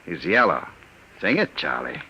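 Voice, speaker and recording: A cold gang boss from an old radio play taunts in a lively, sharply bright voice. His mockery rides on wide swings of pitch, heard through a lo-fi 1950s broadcast. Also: normal speed, slightly rough, full character voice. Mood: menacing. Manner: menacing, mocking. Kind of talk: 1950s radio drama acting.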